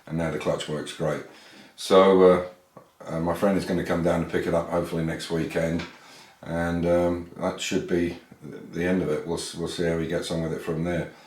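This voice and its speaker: A man's voice: deep voice, flat delivery, normal speed, a little mellow, roomy sound. An English man hosting a casual car restoration vlog, rambling through his workshop with restarts and fillers. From England. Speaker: classic car restorer and channel host. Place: garage workshop.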